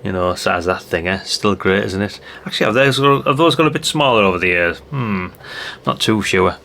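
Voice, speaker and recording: A man's voice: fairly low pitch, expressive, normal speed, creaky, sharp tone, a little hiss or hum. An older man chatting in a rambling way, thinking aloud through a casual hands-on product review. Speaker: radio hobbyist reviewer.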